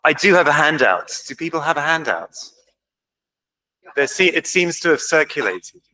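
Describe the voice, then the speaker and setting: English man giving a bright academic talk. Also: fairly high pitch, sing-song, moderate pace, poor audio. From England. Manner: informal, self-deprecating, many ums. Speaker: conference speaker. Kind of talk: academic lecture. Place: lecture hall.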